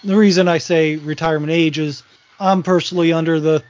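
Higher-voiced man in his forties, rambling. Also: fairly even intonation, fairly quick, darkish tone, muffled recording. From Virginia. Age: 40s. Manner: rambling, restarts sentences. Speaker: podcast co-host. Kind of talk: casual chat.

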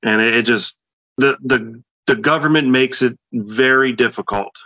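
A man's voice: mid-range voice, normal speed, pushed and bright, dry recording, dull audio. A man in his late thirties co-hosting a podcast, speaking casually and hesitantly with many fillers. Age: late 30s. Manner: hesitant, many ums and restarts. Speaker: podcast co-host. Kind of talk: casual chat.

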